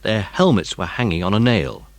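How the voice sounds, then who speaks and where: A man's voice: on the low side, sing-song, normal speed, vocal fry, dry recording. A man narrating a children's story in a dramatised audiobook style.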